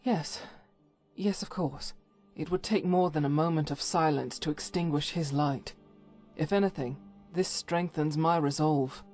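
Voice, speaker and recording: A stern, devout female crusader from a fantasy game, formal and solemn with doubt underneath. Her voice sits low and she takes her time over each phrase, with faint music behind her. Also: a little slow, a little mellow. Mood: guarded, resolute. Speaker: voiced follower character in a fantasy game mod. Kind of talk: scripted dramatic game dialogue.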